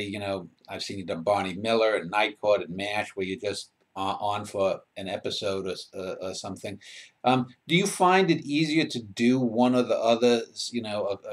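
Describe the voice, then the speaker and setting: A man interviewing over a video call, asking long, rambling questions full of "uh" and "you know.". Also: fairly low pitch. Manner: rambling, hesitant questions with fillers. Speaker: interviewer, host.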